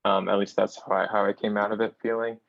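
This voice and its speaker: Participant: low gravelly man, flat and hesitant, dull video call sound. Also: fast. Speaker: workshop participant. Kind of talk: online workshop discussion.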